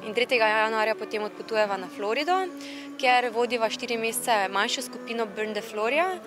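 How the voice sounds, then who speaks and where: A Slovenian woman in her thirties, a dancer and choreographer, answering interview questions in a quick, lively way. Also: on the high side. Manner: quick, lively, full of fillers. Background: music playing.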